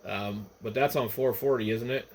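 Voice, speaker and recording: A man's voice: on the low side, moderate pace. An American man, an amateur radio operator, chatting casually over the radio. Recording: noisy ham radio transmission, static behind.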